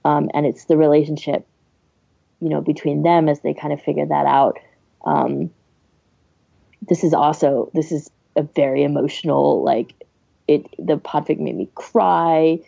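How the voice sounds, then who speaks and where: A woman's voice: deep voice, steady tone, a little slow, creaky, warm tone, no echo, muffled recording. A woman hosting a podcast, chatting casually and giving recommendations in a hesitant, rambling way. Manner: hesitant, rambling, searching for words. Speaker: podcast host.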